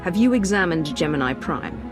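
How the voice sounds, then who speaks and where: A woman's voice: on the low side, animated, moderate pace, music in the background, natural voice. A woman acting a commanding, calculating Sith leader in a video game, assertive, shrewd and controlled.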